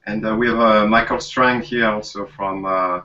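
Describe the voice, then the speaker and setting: A male museum curator talking casually on a video chat, halting with many fillers. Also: on the low side, steady tone, pushed and bright, distant mic, lo-fi sound, a little hiss or hum. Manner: halting, frequent "uh".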